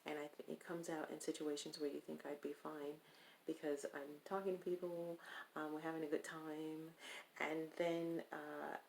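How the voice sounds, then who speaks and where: A low-voiced woman with a gravelly, creaky edge, chatting casually to her viewers in an accent she is putting on on purpose. She rambles and hesitates, pausing often as she goes. A faint hiss of noise sits under her voice. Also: level tone, a little mellow, low-quality recording. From Stockton, California. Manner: speaking in a deliberately put-on foreign-sounding accent; rambling, hesitant, with many pauses. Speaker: vlogger. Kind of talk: casual video chat.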